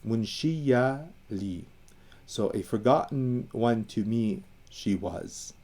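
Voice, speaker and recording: A man's voice: mid-range voice, animated, slow, a little mellow. A man from Iran explaining language history in casual talk, thinking aloud with fillers and restarts and lingering over the words he defines. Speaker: educator on Assyrian language and identity.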